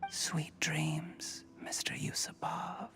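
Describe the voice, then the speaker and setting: An actor playing a smug, calculating villain who talks with mock politeness in a dramatic video game scene. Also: male voice, fairly high pitch, level tone, takes it slowly, in a whisper. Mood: hushed menace. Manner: smooth-talking, mock-polite, gloating. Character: smug, calculating male schemer, coolly murderous villain. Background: background music.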